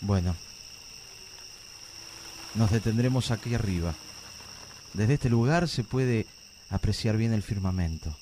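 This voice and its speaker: An actor with an Argentine accent playing a gentle, reassuring teenage boy in love in a radio drama, speaking softly and coaxingly. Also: on the low side, unhurried, long pauses, slight background noise, close to an everyday voice. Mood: tender. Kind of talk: amateur radio drama acting. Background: music.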